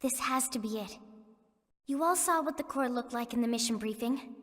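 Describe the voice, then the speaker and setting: Woman as an earnest, high-voiced young crewmate. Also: moderate pace, a bit bright, close to an everyday voice. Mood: insistent. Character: earnest, observant young female crew member. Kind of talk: video game cutscene acting. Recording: long reverberant echo.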